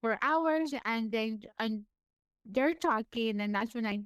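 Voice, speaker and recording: A woman with a high, clear, smooth voice and a slight lisp, shaping each word with effort. She speaks slowly in relaxed interview chat and laughs easily. The sound is dry and close. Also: no room sound. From California. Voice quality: high-pitched voice. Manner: animated, laughs often, pushes extra hard on words. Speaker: podcast guest.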